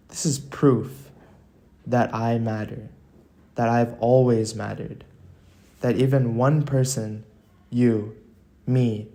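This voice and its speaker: A man reads a scripted motivational monologue at an unhurried, slow pace. His voice is soft-edged and mellow, and his delivery is earnest and deliberate, with weighty pauses between short phrases. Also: mid-range voice.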